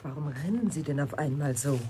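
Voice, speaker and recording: A woman's voice: low voice, brisk, dark tone, husky. An actress playing a polite, mildly curious woman visiting from outside, speaking in an understated, musing way in a radio play. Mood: mildly protesting. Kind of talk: German radio play dialogue.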